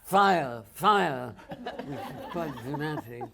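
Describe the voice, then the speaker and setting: A man's voice: a little high, lively intonation, slow pace, vocal fry, a crowd in the background. A man on a public panel, telling theatre memories in a dry, wry, understated way. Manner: dry, wry storyteller who understates the humor. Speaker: audience panelist. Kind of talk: reminiscing in a public panel chat.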